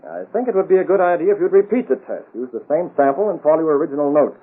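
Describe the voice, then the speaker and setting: An actor playing a shrewd, commanding newspaper man in old-time radio drama, questioning in an assertive, deliberate way. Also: fairly high pitch, expressive, slightly rough. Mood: firm, wry. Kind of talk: 1930s-40s radio drama acting. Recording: muffled, tinny old radio recording.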